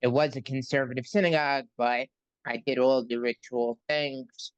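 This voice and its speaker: A man from New York City interviewing a guest, speaking haltingly with many ums and pauses. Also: medium pitch, fairly even intonation, clear, resonant tone, dry recording, highs cut off. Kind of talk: casual conversational interview.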